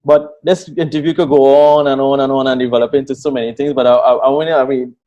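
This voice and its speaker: A man hosts an interview in an even, middle-pitched voice. He is encouraging and keeps repeating his key points. The recording sounds a little dull and muffled. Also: fast talker. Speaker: host. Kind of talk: interview and podcast hosting.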